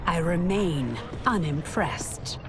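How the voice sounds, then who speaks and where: A coolly confident warrior empress in a video game. Her lowish voice is formal, composed and haughty, set against loud music. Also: expressive, takes it slowly. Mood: cool defiance. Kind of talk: video game cutscene acting. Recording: loud music behind dialogue.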